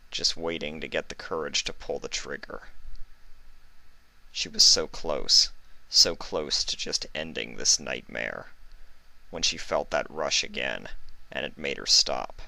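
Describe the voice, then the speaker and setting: A man's voice: very deep voice, unhurried, creaky, sharp tone, low-quality recording. A man giving a dramatic scripted narration of a fan fiction story.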